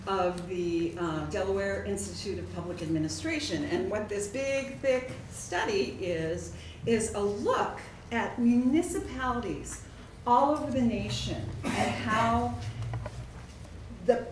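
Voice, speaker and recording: A woman speaking as a city councilor, giving an informal presentation at a public meeting in halting, measured phrases. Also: medium pitch. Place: indoor council meeting room. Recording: echoey, noisy meeting room, distant mic.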